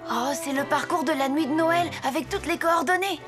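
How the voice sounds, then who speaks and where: A woman's voice: sits high, normal speed, close to an everyday voice. A woman voicing an impatient, curious, headstrong young boy in a children's cartoon. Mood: curious, determined. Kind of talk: French children's cartoon dubbing. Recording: music behind the voice.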